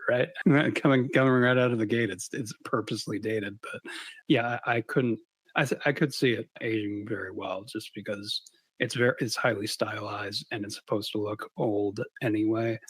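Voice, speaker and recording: The husky-voiced creator being interviewed on a podcast, feeling his way haltingly through answers in a dry, close sound. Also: fairly low pitch, fairly even intonation, no echo. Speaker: interview guest, series creator. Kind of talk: casual interview.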